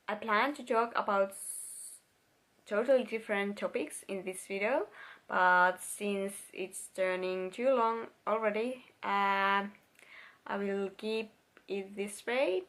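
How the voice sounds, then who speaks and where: A woman's voice: medium pitch, steady tone, takes it slowly, fairly bright tone. A young woman with a Finnish accent, speaking English as a second language in a casual personal vlog, searching for words and restarting sentences. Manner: laughs now and then while talking. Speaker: vlogger.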